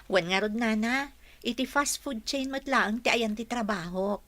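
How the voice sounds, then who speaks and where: A woman's voice: a little high, measured pace, fairly bright tone, no room sound. Woman as a practical, caring elder sister. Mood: polite. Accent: Filipino. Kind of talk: radio drama dialogue.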